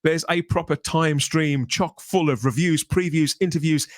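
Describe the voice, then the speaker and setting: Higher-pitched man hosting a podcast, dry sound. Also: moderate pace, no echo. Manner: chatty, flowery promotional phrasing. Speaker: podcast host. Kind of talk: scripted show introduction.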